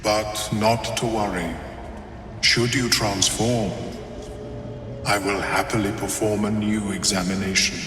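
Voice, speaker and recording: Mind flayer for a game, male actor: unhurried, polite, clinical intellectual monster, cavernous echo, faint music behind. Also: on the low side, fairly even intonation, lightly acted. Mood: clinical, detached. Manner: formal, measured, clinical. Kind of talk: video game dialogue acting. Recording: echoing hall with long reverb, faint music.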